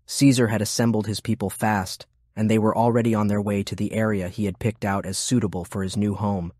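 A man's voice: on the low side, level tone, fairly quick, a little mellow, dry recording, studio quality. A man reading scripted war fiction as an audiobook narrator.

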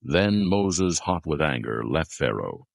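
A man's voice: very deep voice, sing-song, takes it slowly, creaky, no echo, studio quality. A man narrating a dramatized scripture reading in a scripted, storytelling delivery.

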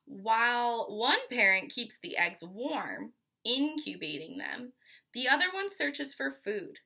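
A young American woman with a fairly high, bright voice reads a picture book to children. She is instructive, breaking off from the text to explain things and pose questions to her listeners. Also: sing-song, slow pace, gravelly. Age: 20s. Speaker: teacher reading aloud. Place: small furnished room at home, indoors.

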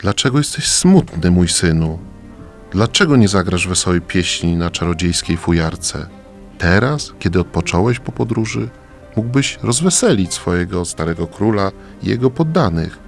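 Male actor as old king, low pitch, tender father, sorrowful, solemn, children's radio play, faint music. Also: lively intonation, moderate pace, gravelly. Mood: sad, pleading. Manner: solemn, pleading.

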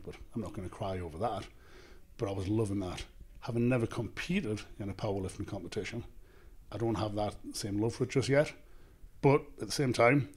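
A man in his thirties with a Northern English accent, a gym owner and author answering podcast questions in a measured, confident way, speaking into a handheld mic in a small office studio. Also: on the low side. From England. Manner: measured, confident, explains in lists. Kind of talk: casual interview answers. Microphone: handheld microphone.

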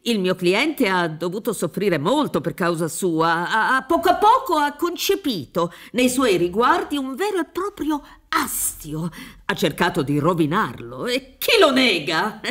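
A middle-aged woman playing a pompous defence lawyer in a radio drama, theatrical and rhetorical, pausing for emphasis. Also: mid-range voice, big pitch swings, a bit bright. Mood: mocking, persuasive. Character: pompous, wheedling defence lawyer pleading a client's innocence. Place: dark theatre stage, reading from script. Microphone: studio microphone with headphones.

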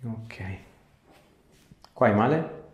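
A man's voice: mid-range voice, drawn-out words, highs cut off. A man, a chiropractor giving instructions and asking a patient questions. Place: small bare treatment room, indoors. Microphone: clip-on lapel mic. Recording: echoey small room.

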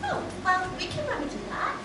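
A woman's voice: extremely high pitch, very animated, brisk. A woman playing an eager, breezy good fairy in an amateur stage pantomime. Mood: cheerful, breezy. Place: theatre stage, recorded from the audience. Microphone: far camera. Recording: distant echoey theatre recording, loud noise.

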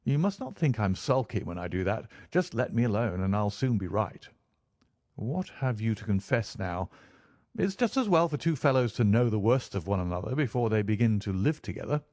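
A man voicing an eager, energetic eccentric amateur detective with brisk, cheerfully candid speech, as in an audiobook. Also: fairly low pitch, no echo, studio quality. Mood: candid, animated. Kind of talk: audiobook character dialogue.

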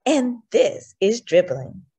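A woman voicing a firm, encouraging female gym coach in a storybook, giving clear instructions. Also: a little high, sing-song, takes it slowly, warm tone, full character voice.

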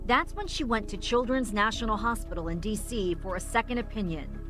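A middle-aged woman anchoring and reporting a TV news health story in a clear, measured newsreader style. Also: medium pitch, music in the background. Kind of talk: scripted news narration. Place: TV studio.

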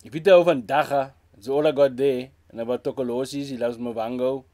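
A man's voice: medium pitch, fairly even intonation, fairly quick, noisy background. A man in his thirties from South Africa with a Cape Town accent, giving frank, casual commentary in a small room and slipping Afrikaans phrases into his English. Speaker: music reaction host. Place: small indoor room with a brick wall.

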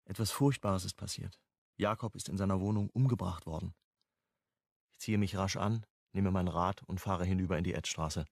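An actor playing a sober first-person narrator in a crime radio drama, restrained, in short sentences. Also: male voice, low voice, moderate pace, vocal fry, no room sound, clean studio sound. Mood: sober, recounting.

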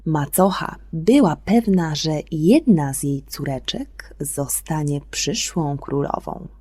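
A woman telling a children's fairy tale as scripted narration, expressive and gentle, with deliberate phrasing. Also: sits low, slightly rough, no echo. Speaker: storyteller on a children's radio programme.

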